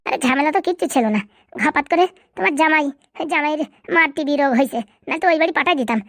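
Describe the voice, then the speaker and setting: A woman's voice: high voice, rapid-fire, a bit bright, no room sound, studio quality. A woman voicing a scheming male henchman in a comedy cartoon, cheeky and conniving. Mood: scheming, reassuring. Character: scheming, reassuring male henchman of a village politician.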